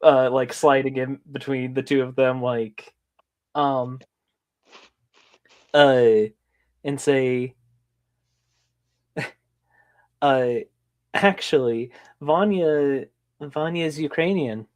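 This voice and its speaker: A man's voice: mid-range voice, steady tone, very slow, pauses often, darkish tone. A man playing a tabletop role-play game, talking hesitantly with lots of fillers. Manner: hesitant, many fillers, giggly. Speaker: player. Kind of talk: casual chat at a game table.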